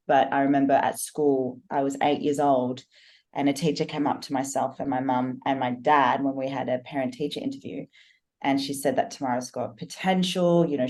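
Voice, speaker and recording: Aussie woman, really low deep voice, earnest podcast guest telling her story. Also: a little mellow. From Australia. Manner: earnest, reflective, talks in long flowing stories. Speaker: podcast guest, business coach. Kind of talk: personal storytelling in a podcast interview.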